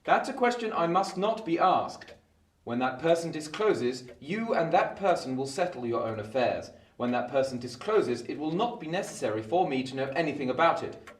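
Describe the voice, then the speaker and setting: An actor playing a brisk, exacting Victorian London lawyer in an audio drama, questioning in a clipped, commanding, legalistic way. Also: male voice, fairly high pitch, very animated, reverberant, distant mic. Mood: stern, firm.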